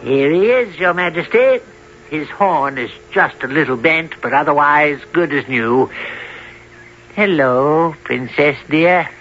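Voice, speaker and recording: A man's voice: fairly high pitch, animated, slow pace, faint music behind. A man playing a valiant little tailor in a radio folk tale, chatty, sly and cocky, with a jaunty theatrical delivery. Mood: cheerful, polite. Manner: theatrical, talks to himself and others jauntily. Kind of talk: 1970s old-time radio drama acting. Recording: muffled, hissy 1970s radio recording.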